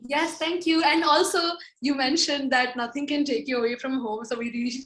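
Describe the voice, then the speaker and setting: A woman's voice: sits high, steady tone, fairly quick, fairly bright tone, roomy sound. A woman with an Indian accent chatting casually as a musician guest, gushing and enthusiastic. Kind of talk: casual chat.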